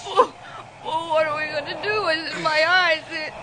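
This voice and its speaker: An actress playing a frightened, injured woman in a radio drama, halting, tearful and pleading. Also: extremely high pitch, steady tone. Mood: tearful despair. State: crying, distressed. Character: frightened, desperate woman, injured crash survivor. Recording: noisy vintage radio drama.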